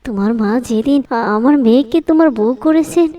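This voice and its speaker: A woman's voice: on the high side, brisk, recorded from a distance, lo-fi sound, close to an everyday voice. A woman voicing a humble, pious middle-aged village mother-in-law in a cartoon, with a plaintive, pleading manner. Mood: gentle, resigned. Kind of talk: cartoon voice acting.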